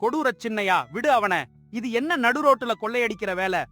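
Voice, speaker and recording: A man playing the hero's loyal, indignant friend in a moral story, scolding forcefully. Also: extremely high pitch, lively intonation, a little fast, faint music behind, exaggerated voice. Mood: outraged. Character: loyal, indignant young male friend of hero.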